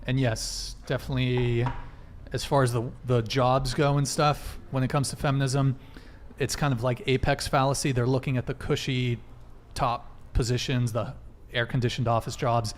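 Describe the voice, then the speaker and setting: A man's voice: medium pitch, level tone, moderate pace, a little hiss or hum. A young man hosting a live-streamed panel chat, wired and rambling, swearing often as he reads out donations. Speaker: host.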